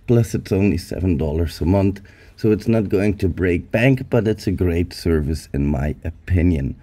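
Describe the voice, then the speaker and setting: A man with a hoarse voice from being sick, giving an upbeat, chatty tech review over a screen recording. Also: low-pitched, expressive, moderate pace, mellow. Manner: upbeat, chatty, addresses viewers as hackers. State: hoarse from illness. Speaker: YouTube security reviewer.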